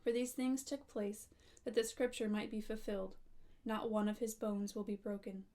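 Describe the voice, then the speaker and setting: A woman reading scripture aloud, measured and phrase by phrase. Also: medium pitch, little pitch movement, dark tone. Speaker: scripture reader.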